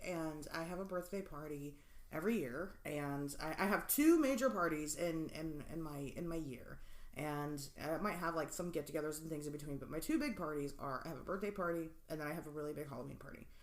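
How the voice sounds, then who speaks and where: A woman's voice: low-pitched, brisk, reverberant. A woman in her late twenties co-hosting a podcast, chatting casually, rambling with lots of fillers and frequent laughter. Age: 20s. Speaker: podcast co-host. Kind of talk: casual chat.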